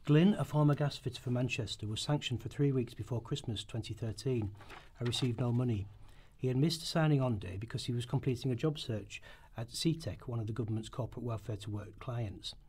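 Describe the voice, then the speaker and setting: Male narrator for radio documentary drama, mellow and somewhat raspy, reading from a script. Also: medium pitch, brisk, hardly any pauses.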